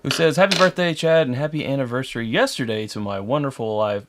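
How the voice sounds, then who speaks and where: A man's voice: mid-range voice, quick pace. A man co-hosting a casual live show, easygoing and laughing often. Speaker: co-host.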